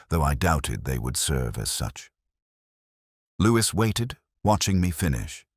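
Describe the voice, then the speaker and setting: A man giving scripted first-person detective narration in a measured, reflective, solemn manner. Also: low voice, no echo, clean studio sound.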